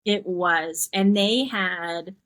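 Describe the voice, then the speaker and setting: A middle-aged woman from New York, a podcast guest chatting casually and warmly, rambling a bit and laughing often. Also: lowish voice, drawn-out words. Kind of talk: casual interview chat.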